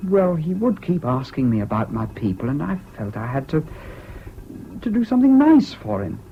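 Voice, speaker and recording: An actor playing a whimsical, impulsive young English student in a radio drama, talking in a chatty, flippant way. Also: male voice, mid-range voice, big pitch swings, normal speed. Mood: confiding, flippant. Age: young adult. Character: whimsical, impulsive young male Cambridge student, evasive charmer. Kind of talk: 1970s British radio drama acting. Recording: dull, hissy old radio recording.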